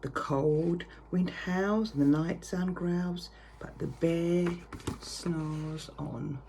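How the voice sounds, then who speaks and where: A woman reading a picture story aloud to children with theatrical, drawn-out emphasis. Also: low voice, dark tone. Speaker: primary school reader. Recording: clear background noise.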